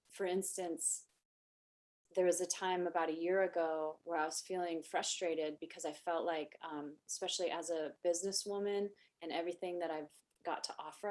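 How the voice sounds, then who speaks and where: A middle-aged woman hosting a podcast, talking in a soft voice that does not carry far, reflective and thoughtful in long winding sentences. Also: on the low side. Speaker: podcast host and wellness coach. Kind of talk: casual podcast conversation.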